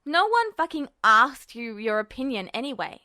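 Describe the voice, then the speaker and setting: A woman's voice: sits high, big pitch swings, moderate pace, bright, forceful tone, no echo, studio quality, heavily acted. A woman voicing a scrappy, foul-mouthed young man with a brash, combative manner in an audiobook story. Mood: indignant. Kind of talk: audiobook character voice.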